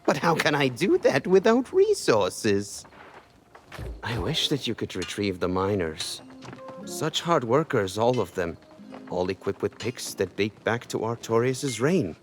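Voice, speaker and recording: An actor playing a beleaguered, earnest fort builder in a video game, lamenting and asking for help. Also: male voice, medium pitch, sing-song, a little slow, soft background music. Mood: troubled, pleading. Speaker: game character giving quests. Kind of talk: scripted video game dialogue.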